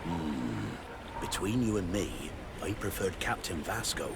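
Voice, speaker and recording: Gossipy game sailor, lowish pitch, very animated intonation, sly, colloquial, noisy dockside. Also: male voice, slow, gravelly, exaggerated voice. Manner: colloquial, knowing, insinuating. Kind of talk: video game dialogue acting. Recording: noisy outdoor harbour ambience.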